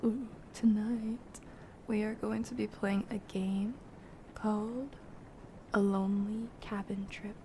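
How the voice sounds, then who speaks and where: A woman livestreamer chats casually with her viewers at an unhurried pace. Her voice sits slightly high yet has a mellow, soft tone, often slipping toward a breathy near-whisper. A faint noise runs behind her. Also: little pitch movement. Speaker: livestream host, gamer.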